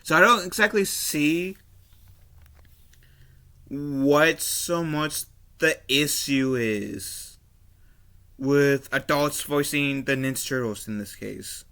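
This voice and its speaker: A man giving casual opinion commentary as a video host, halting and often repeating and rephrasing himself. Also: fairly high pitch, sharp tone, clear, resonant tone. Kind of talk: casual opinion commentary, reading an article aloud.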